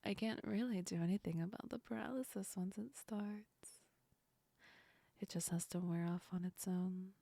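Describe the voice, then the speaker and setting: A woman playing a teasing yet comforting female sleep demon in a whispered ASMR roleplay, breathy and soft, speaking close to the ear. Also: medium pitch, fairly even intonation, moderate pace, no echo. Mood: apologetic, explaining. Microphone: very close ASMR microphone.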